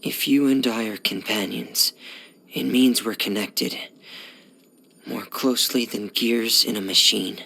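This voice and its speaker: A gentle, withdrawn teenage boy from a video game. His voice sits fairly low, with a bright edge and a lot of soft breath in it. He speaks in a hushed, hesitant way, as a gloomy loner would. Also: fairly even intonation, unhurried, creaky, heavily acted. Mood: calm, pensive. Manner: hesitant, reserved, subdued. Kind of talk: video game character voice lines.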